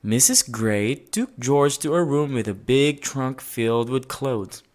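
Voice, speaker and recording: A woman's voice: extremely low pitch, slow pace. A woman reading a children's story aloud, expressively and with dramatic emphasis. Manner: expressive, dramatic emphasis, sound-effect words. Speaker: storybook reader.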